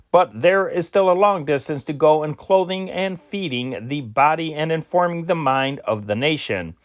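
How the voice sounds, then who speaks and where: Man reading old news stories, a bit high. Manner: measured reading with self-corrected slips. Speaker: newspaper reader.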